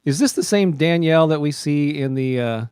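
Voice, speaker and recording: Chatty man with a higher voice. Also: lively intonation, normal speed, darkish tone, clean tone, dry recording, studio quality. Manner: chatty, greets viewers by name, laughs often. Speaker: host. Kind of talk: casual livestream chat.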